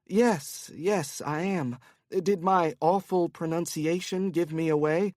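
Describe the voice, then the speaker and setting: Sly young American woman, male narrator voicing her, coaxing and smooth, slightly raised pitch. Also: slow, darkish tone, no echo, clean studio sound. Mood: playful. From Kentucky, USA.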